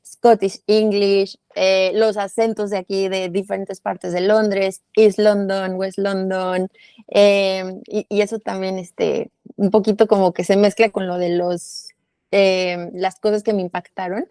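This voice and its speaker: A woman's voice: mid-range voice, flat delivery, unhurried, mellow, clean tone, dry recording. A young woman with a Mexican accent, a guest talking through her answers in a casual interview, with many fillers and restarts. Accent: Mexican Spanish.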